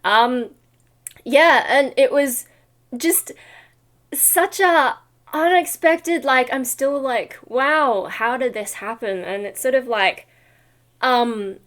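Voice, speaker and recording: A woman's voice: high voice, slow, a bit bright. A woman chatting casually for a vlog in a tired, rambly, self-deprecating way with trailing asides. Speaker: vlogger and metal vocalist.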